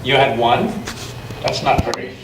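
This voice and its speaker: A man reading a picture book aloud to children and chatting gently with them in a church. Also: medium pitch, fairly even intonation, measured pace. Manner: gentle question-and-answer talk with children. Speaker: storytime reader at a church children's gathering. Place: large echoey church room with children. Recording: echoey noisy room, distant mic.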